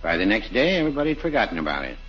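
A mild older druggist, dry and folksy in 1940s radio comedy style. His voice sits on the low side and bobs up and down as he hedges. The old recording is dull and slightly noisy. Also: sing-song, racing, husky, faint music behind. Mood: placid, folksy.

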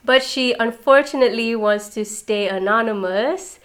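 A woman's voice: a little high, moderate pace, fairly bright tone. A woman hosting a podcast, upbeat and earnest, speaking directly to her listeners. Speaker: podcast host.

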